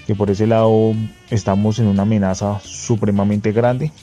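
A man with a Colombian accent giving an informal, analytical spoken report, measured and somewhat hesitant. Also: on the low side, little pitch movement, mellow, dull audio. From Bogotá, Colombia. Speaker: guest correspondent sending an audio report. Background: faint guitar music.

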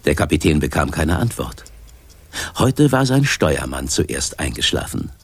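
A man narrating a children's sea adventure in measured, articulate scripted storybook style. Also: rumbling low, sing-song, slightly rough, no echo, full character voice. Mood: calm narrating.

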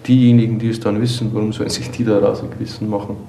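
A low-voiced man speaks his opinion off the cuff in a halting way. His tone is flat and mellow with a gravelly fry, and the room is echoey. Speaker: member of the public giving opinions. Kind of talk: spontaneous spoken opinion in original-sound radio collage. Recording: echoey room, faint noise.

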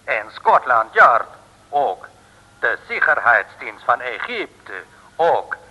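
Radio-play villain: cold, measured secret agent with a bright, forceful, husky voice. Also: male voice, medium pitch, sing-song, lo-fi sound, full character voice. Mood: pompous, menacing. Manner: slow, measured, pompous pronouncements. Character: sinister male secret agent, coldly menacing villain. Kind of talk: 1950s Dutch radio play acting. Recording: old radio recording with long reverb.

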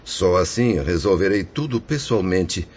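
Man acting as deep-voiced, remorse-ridden ruined merchant spirit. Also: expressive, measured pace. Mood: ashamed, anguished. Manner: solemn, declamatory, formal. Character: remorseful male suicide spirit, ruined merchant, resigned yet anguished. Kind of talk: religious radio drama acting. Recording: dull lo-fi audio with faint noise.

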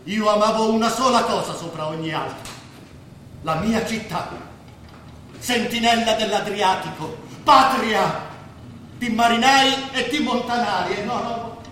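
An actor playing a cautious, sly, nostalgic refugee lawyer, speaking in measured theatrical oratory in a stage drama. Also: male voice, high-pitched, fairly bright tone. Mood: mournful, nostalgic. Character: displaced lawyer from Pola, nostalgic, cautious, slyly calculating. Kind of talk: staged radio drama acting. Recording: roomy echoing stage, faint noise.

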